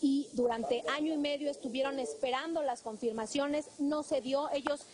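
High-voiced Mexican woman reporting live. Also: fairly even intonation, normal speed, crowd noise. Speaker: field reporter. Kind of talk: live news report, semi-scripted. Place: outside a government building on a city street. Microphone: handheld reporter microphone. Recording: outdoor street noise behind.

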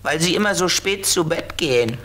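A man's voice: fairly high pitch, lively intonation, bright, forceful tone. A man playing a friendly, knowing flying companion of a little girl in a 1970s German children's radio play. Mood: matter-of-fact. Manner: measured, explanatory.

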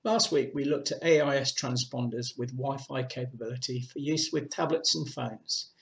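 A man's voice: mid-range voice, moderate pace, creaky. A middle-aged man presenting a product demonstration in a matter-of-fact, explanatory way. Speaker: presenter of a boating shop's product video. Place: small indoor room with painted block walls. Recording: echoey small room.

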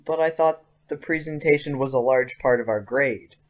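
A man's voice: mid-range voice, moderate pace, natural voice. Guy doing an earnest curious teen boy in an audio play, mid voice, casual wording, keeps pushing his point. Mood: questioning, reluctant. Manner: casual youthful phrasing, insistent. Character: earnest, inquisitive teenage schoolboy, persistent. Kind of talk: audio drama acting.

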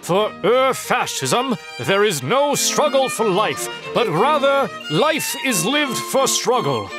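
A man's voice: high-pitched, very animated, slow, over music. A man reading scripted narration for a comic dub in a grand, dramatic manner.

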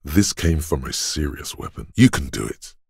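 Super deep raspy inner voice of a beat up detective in a game, grave n stoic, slow heavy words, really dry close sound. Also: male voice, sing-song, dry recording, heavily acted. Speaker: voice actor. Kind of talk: scripted game narration in second person.

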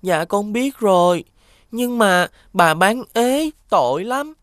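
A woman's voice: mid-range voice, expressive, slow, no echo, clean studio sound. Earnest little cartoon boy, southern Vietnamese. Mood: sheepish. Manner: polite, earnest. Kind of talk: children's cartoon dubbing.

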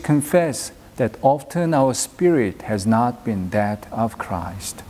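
A middle-aged man leading church worship as minister, reading prayers and scripture in a solemn, measured, formal way. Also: mid-range voice, warm tone. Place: inside a church, at a pulpit. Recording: long echoing hall reverb.

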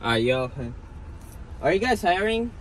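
A man's voice: sits high, big pitch swings, measured pace, slight background noise, rough recording. A young man chatting casually and teasingly in slang, recorded inside a car. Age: young adult. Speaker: prankster at drive-thru windows. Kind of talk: casual joking chat. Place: inside a car at drive-thrus.

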